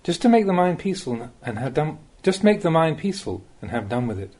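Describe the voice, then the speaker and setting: A man reading a Buddhist Dhamma talk aloud as a monk, in the measured, plain-spoken way of a teacher, with rhetorical emphasis. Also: on the high side, big pitch swings, a little mellow.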